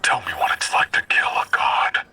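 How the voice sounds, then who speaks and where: An actor playing a menacing zealot warlord in a video game, speaking slowly and grandly in a breathy, rasping half-whisper. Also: male voice, noisy background, lightly acted. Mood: cold, probing. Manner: slow, deliberate, grandiose, taunting. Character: menacing zealot warlord villain, devoted to a dark power. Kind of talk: cinematic game villain monologue.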